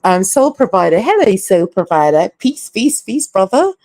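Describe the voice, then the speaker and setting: A woman's voice: mid-range voice, big pitch swings, normal speed, darkish tone, dry recording. A woman from Canada hosting a casual live stream, reading out viewer comments and giving her opinions candidly.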